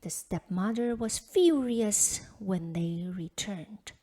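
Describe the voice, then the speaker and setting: A woman's voice: medium pitch, lively intonation, slow, dark tone. A woman reading a children's folktale aloud as scripted storybook narration. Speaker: storybook reader.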